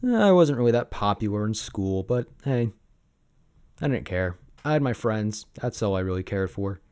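Easygoing low-voiced sabertooth boyfriend, acted by a man. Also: big pitch swings, normal speed, clean tone. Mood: fond, nostalgic. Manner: casual, reminiscing, gently hesitant. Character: easygoing, sentimental young sabertooth tiger boyfriend. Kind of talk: ASMR roleplay monologue.

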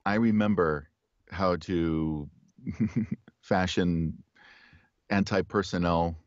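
Deep-voiced middle-aged man on a call. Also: no echo. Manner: relaxed, chuckling, halting restarts. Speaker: audiobook narrator, guest. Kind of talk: casual interview chat. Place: home studio with poster behind. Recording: dry, slightly dull video call audio.

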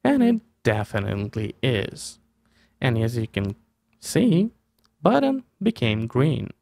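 Low-voiced man with lively, gravelly delivery. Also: slow pace, no room sound. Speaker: tutorial host. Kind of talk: step-by-step software tutorial. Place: small room indoors. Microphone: close desk mic with headset.

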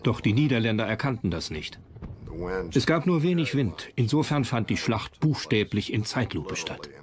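A man's voice: mid-range voice, big pitch swings, normal speed. Man commenting over crowd sound. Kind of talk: documentary commentary.

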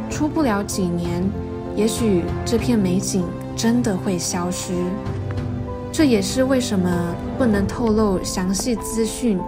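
Woman, scripted voice-over, calm and earnest, mid pitch, loud music behind. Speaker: narrator.

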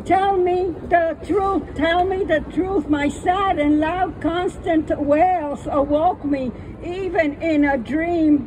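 A woman's voice: high-pitched, mellow, slight background noise, low-quality recording. An older woman reading her own poems with friendly, plain-spoken asides on a small outdoor stage, using a stage microphone on a stand. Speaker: poet. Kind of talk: poetry reading with chatty asides. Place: small outdoor stage under trees at a festival.

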